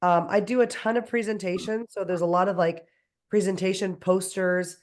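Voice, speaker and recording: A woman speaking hoarsely while getting over a cold. She chats enthusiastically on a panel and runs through long lists. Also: medium pitch, fairly even intonation, normal speed, darkish tone. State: hoarse from a cold, losing her voice. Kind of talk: casual panel discussion.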